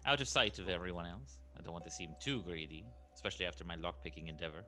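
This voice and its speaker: Man, low pitch, bright tone, casual tabletop player, music behind. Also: fast. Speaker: player in a tabletop roleplaying game. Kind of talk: casual game table chat. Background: background music.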